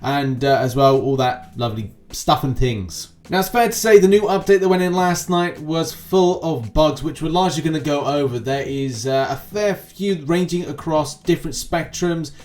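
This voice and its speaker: A man's voice: a little high, animated, moderate pace, few pauses, a little mellow. Chatty man hosting gaming news. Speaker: host of a gaming news video channel. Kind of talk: casual news commentary on a mobile game.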